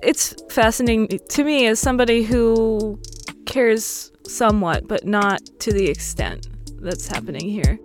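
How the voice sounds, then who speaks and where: A woman in her early thirties chatting casually as an interview guest, explaining things in a thoughtful, halting way. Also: fairly high pitch, faint music behind. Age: early 30s. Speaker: podcast guest.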